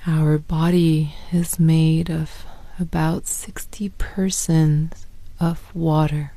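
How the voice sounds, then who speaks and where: Woman meditation guide, half-whispered delivery, very slow and flat, dry close sound. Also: low-pitched, no echo. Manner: soft, slow, partly whispered.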